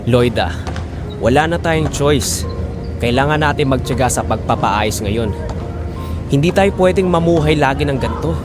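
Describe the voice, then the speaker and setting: A man's voice: medium pitch, lively intonation, moderate pace, long pauses, music in the background, close to an everyday voice. A man playing an earnest, persevering husband who pleads for his family in a Filipino audio drama. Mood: patient, defensive.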